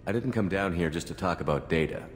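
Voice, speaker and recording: A man playing a steady, dry, plain-spoken military commander in a video game cutscene. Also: deep voice, fast talker, slight background noise, lightly acted. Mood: wry, flirtatious.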